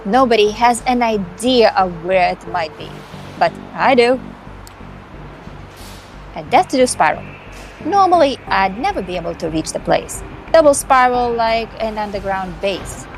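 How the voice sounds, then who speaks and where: A woman acts out a vengeful, cocky vampire hacker from a visual novel. She talks in a theatrical, condescending way with dramatic pauses, at a medium pitch, over background music. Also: expressive, low-quality recording. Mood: scheming, persuasive. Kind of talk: amateur voice acting of a visual novel. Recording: music behind the voice.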